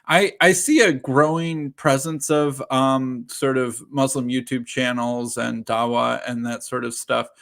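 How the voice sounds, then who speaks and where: A man hosting an interview, asking relaxed, informal, slightly rambling questions. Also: mid-range voice, unhurried, creaky, hardly any pauses. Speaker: host.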